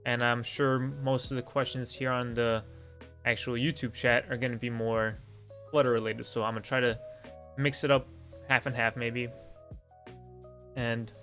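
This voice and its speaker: A young man with a gravelly, fry-tinged voice answers viewer questions on a live stream, with faint music playing underneath. He rambles, pokes fun at himself, and fills the gaps with ums and likes. His first language is Lithuanian. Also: medium pitch, fairly bright tone. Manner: rambling and self-deprecating, many fillers. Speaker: streamer hosting a Q and A. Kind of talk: casual live-stream chat.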